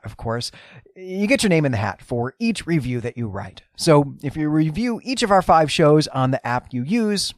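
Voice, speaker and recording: A man's voice: medium pitch, no room sound, clean studio sound. A man co-hosting a podcast in a conversational, explanatory way, with wry, self-deprecating asides and emphatic repetition. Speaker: podcast co-host. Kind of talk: conversational literary discussion and announcements.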